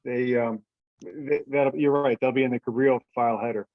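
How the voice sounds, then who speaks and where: A man's voice: mid-range voice, steady tone, brisk, dry recording. A man talking casually in an online meeting, thinking aloud hesitantly as he goes through rules as an organizer. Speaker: event organizer presenting rules. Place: online teleconference call. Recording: dull lo-fi teleconference audio.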